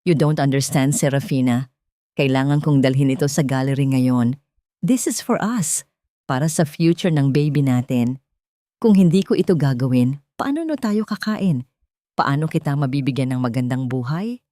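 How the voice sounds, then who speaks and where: A woman's voice: extremely low pitch, animated, moderate pace, dark tone, no room sound, studio quality, pitched below the performer's own voice. Woman voicing a manipulative, irritable husband. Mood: coaxing, reproachful. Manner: coaxing but reproachful, code-switching Tagalog and English. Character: manipulative, irritable husband sweet-talking his wife.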